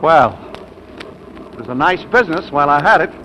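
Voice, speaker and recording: An actor playing an exasperated, harried bridegroom desperate for a wife, in 1940s radio comedy style. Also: male voice, a little high, animated, fairly quick, dull audio. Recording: old radio broadcast with loud engine noise.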